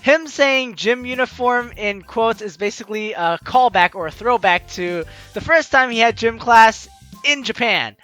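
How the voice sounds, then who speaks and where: A man's voice: high-pitched, pushed and bright. A young man hosting a game playthrough, reading dialogue aloud and commenting in a playful, chatty, dramatic way. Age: young adult. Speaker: let's player hosting a game series.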